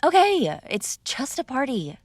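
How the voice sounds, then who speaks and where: A woman's voice: high voice, wildly swooping pitch, measured pace, no echo, studio quality, exaggerated voice. A woman playing a mob boss's spoiled, bratty young adult daughter in an intimate roleplay, speaking casually with sarcastic, exasperated argument. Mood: evasive, playful. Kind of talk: intimate ASMR roleplay acting, one-sided conversation.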